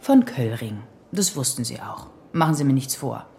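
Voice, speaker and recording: A woman's voice: rumbling low, sing-song, normal speed, warm tone, a little hiss or hum, heavily acted. An actress playing a probing, sardonic female investigator in a radio drama, pointed and insistent. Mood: probing, sceptical.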